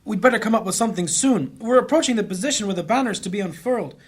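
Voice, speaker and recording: A man playing an earnest, anxious priest in a fantasy audio drama, with a husky voice. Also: sits high, fast talker. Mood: urgent, earnest. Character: earnest, anxious male priest on a covert search.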